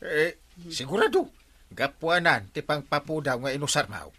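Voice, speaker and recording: An actor playing a fretful, exasperated village captain in a radio comedy drama, with an Ilocano accent. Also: male voice, fairly high pitch, big pitch swings, moderate pace, bright, forceful tone, breathy edge, heavily acted. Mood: scolding.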